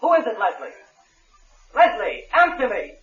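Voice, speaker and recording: An insistent, suspicious man in an old radio thriller. His high, swinging voice demands answers, echoing through a muffled recording. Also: very animated, normal speed. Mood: suspicious, demanding. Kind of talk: 1950s radio suspense acting.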